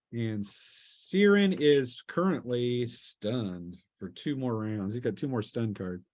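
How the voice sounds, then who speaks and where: A man's voice: medium pitch, a little mellow. A man acting as game master, casually narrating a tabletop role-playing session and piecing scenes together aloud as he goes.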